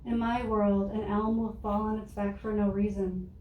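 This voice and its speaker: A soft-spoken woman reading her own poems aloud in a measured, deliberate way to a live audience. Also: medium pitch, level tone, dull audio. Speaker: poet reading her work. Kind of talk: poetry reading. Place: room with an audience. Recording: roomy, distant mic with faint noise.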